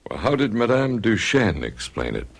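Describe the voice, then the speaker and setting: An actor playing a calm doctor in a radio drama, measured and questioning. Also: male voice, on the low side, gravelly, husky, full character voice. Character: calm male doctor, a psychiatrist. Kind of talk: 1970s radio drama acting.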